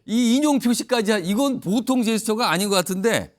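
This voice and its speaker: A man hosting a news panel show in a lively, conversational anchoring style. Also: sits high, brisk.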